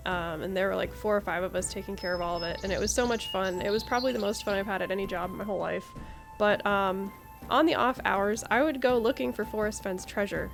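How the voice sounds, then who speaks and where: A woman's voice: medium pitch, fast talker, gravelly, music in the background. A woman giving casual, chatty playthrough commentary as a gaming host, reacting aloud with interjections.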